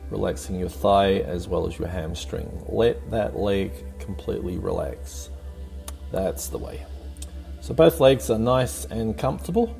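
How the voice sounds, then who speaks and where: Man, lowish mellow voice, meditation guide, calm and encouraging, soft background music. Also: vocal fry. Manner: calm, gently encouraging, unhurried. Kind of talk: guided meditation, soothing spoken instruction.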